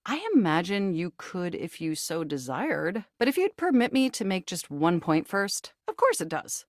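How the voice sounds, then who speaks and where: A woman's voice: lowish voice, no echo, studio quality. A woman voicing an earnest, lovestruck, anxious desktop computer in a dating game, with formal, wordy, precise diction. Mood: smitten, gushing. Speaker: video game character voice actor. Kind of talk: scripted dating game dialogue.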